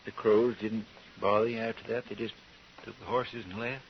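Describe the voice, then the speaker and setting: Man playing earnest, low-voiced western deputy. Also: fairly even intonation, normal speed, close to an everyday voice. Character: earnest, deferential marshal's deputy. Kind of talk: old-time radio western acting. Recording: dull, distant, hissy radio recording.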